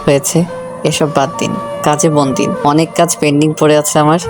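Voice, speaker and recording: A man's voice: fairly high pitch, flat delivery, brisk, over music, close to an everyday voice. A man playing a reserved, businesslike office boss with a formal, deflecting manner in an audio story. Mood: brusque. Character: reserved, businesslike married male office boss, inwardly torn. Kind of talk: Bengali audio story acting.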